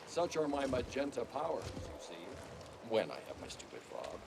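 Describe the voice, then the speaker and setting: An actor playing a flamboyant, grandiose eccentric in a sci-fi video game, speaking in a florid, theatrical and pompous manner. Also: male voice, lowish voice, huge pitch swings, moderate pace, vocal fry, exaggerated voice. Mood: effusive, grandiose. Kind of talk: video game character acting. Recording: noisy ambient background.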